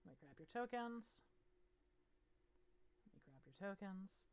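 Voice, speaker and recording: A woman's voice: mid-range voice, lively intonation, unhurried, crowd noise. A woman acting as game master, chatting casually and thinking aloud while she handles the map. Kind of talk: running a tabletop game over voice chat.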